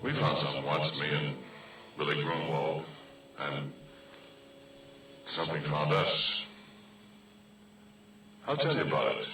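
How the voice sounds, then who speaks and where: An actor playing a folksy, plain-spoken retired oil-field roughneck, telling a brooding tale in conversational 1940s radio style. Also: male voice, rumbling low, a bit bright. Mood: brooding, ominous. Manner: colloquial, conversational, deliberate. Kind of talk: 1940s radio acting, first-person horror narration. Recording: echoey distant 1940s radio recording, music behind.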